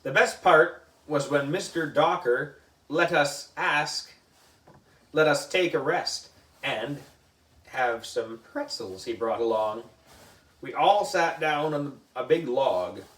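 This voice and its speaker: Animated man reading a storybook, echoey room. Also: fairly high pitch, slow. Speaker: book club reader. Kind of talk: animated children's storybook narration. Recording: echoey room, distant mic.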